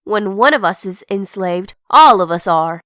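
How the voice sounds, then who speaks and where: A woman's voice: medium pitch, animated, moderate pace, a bit bright, no echo, natural voice. A woman playing a militant, preachy young revolutionary in a comic dub, lecturing emphatically. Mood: preachy, fervent.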